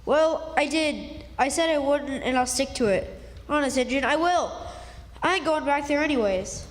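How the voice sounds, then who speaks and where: Actor doing plucky country boy in a radio play, high voice, folksy plain talk, big hall reverb. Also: moderate pace, lightly acted. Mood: surprised, earnest. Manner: folksy rural dialect, plainspoken. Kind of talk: stage radio drama acting. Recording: reverberant hall, live stage performance.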